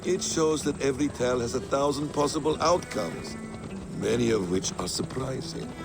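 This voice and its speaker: An actor playing a guarded, cunning mentor warrior in a video game, speaking in a measured, cryptic storyteller's manner. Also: male voice, mid-range voice, gravelly, over music, lightly acted. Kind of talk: dramatic video game acting.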